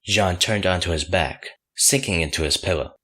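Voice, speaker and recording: A man's voice: deep voice, moderate pace, fairly bright tone. A man narrating a fan fiction audiobook from a script.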